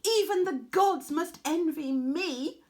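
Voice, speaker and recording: A woman's voice: very high voice, slow, darkish tone, pitched above the performer's own voice. A woman voicing an arrogant young weaver in a storybook, gushing and haughty about herself. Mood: boastful, gushing. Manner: gushing, self-admiring, haughty. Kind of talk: storybook character voice.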